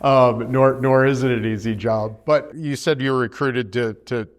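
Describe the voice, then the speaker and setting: A man's voice: medium pitch, steady tone, moderate pace. A man, a former party chairman, interviewing his successor with friendly, plain questions. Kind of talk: conversational interview.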